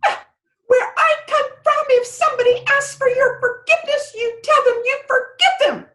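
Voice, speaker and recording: A woman voicing a tiny fairy with a very high, chirpy, fussy voice that turns indignant. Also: takes it slowly, a bit bright, full character voice. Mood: indignant, panicky. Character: tiny squeaky female fairy, greedy then furious.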